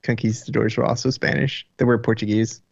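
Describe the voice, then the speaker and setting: A man co-hosting a casual podcast who hedges and restarts his sentences as he explains. His voice sits at a middle pitch. The recording is dry and a bit muffled. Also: little pitch movement, fairly quick, vocal fry, no echo. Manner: hesitant, self-correcting, laughs often. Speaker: podcast co-host. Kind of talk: casual group podcast chat.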